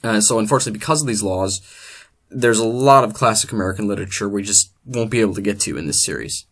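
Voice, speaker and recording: Man, presenter, deadpan, mock-scholarly, scripted comedy lecture. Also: on the low side, fast talker. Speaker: host of a literature series.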